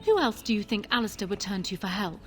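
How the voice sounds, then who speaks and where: An actress playing a proud, ambitious queen in a fantasy video game, speaking formally with calm, measured persuasion. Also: mid-range voice. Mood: cool, critical. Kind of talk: fantasy game dialogue acting.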